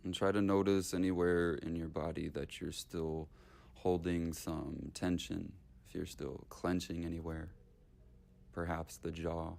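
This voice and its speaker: A man leading a guided meditation in short, unhurried, soothing phrases with long gaps between them. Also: sits low, level tone, creaky, darkish tone.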